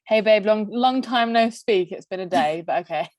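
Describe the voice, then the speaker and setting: A woman's voice: medium pitch, moderate pace, a little mellow. A woman co-hosting a podcast, half Greek and half English with Greek as her first language, bluntly reading out messages, laughing often and swearing. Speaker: podcast co-host. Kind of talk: casual chat reading out messages.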